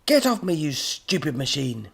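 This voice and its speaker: A man voicing an irascible mad professor in a children's story, exasperated, melodramatic and given to shouting. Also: medium pitch, very animated, normal speed, gravelly, breathy edge, voice pushed up, exaggerated voice. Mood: enraged screaming. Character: irascible mad professor, shrieking and barking, easily enraged.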